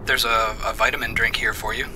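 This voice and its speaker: An actor playing a hesitant young software technician who talks softly and stammers. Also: male voice, fairly low pitch, level tone, brisk, slight background noise, rough recording. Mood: shy. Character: shy young male software tech.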